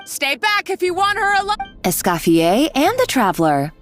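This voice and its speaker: A woman's voice: sits high, wildly swooping pitch, moderate pace, a bit bright, heavily acted. A woman voicing a mocking, unhinged villainess in a video game, with theatrical taunting and a tendency to cackle. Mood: menacing. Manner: theatrical, taunting, bursts of laughter. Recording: music behind the voice. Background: music.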